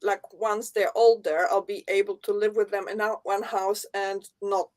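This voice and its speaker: A woman with a Polish accent, hosting a casual interview and asking relaxed, curious questions. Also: mid-range voice, normal speed. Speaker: host.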